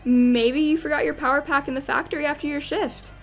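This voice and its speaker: A woman's voice: high voice, fairly even intonation, a little fast, soft background music. A woman playing a wry, teasing space detective in an audio drama.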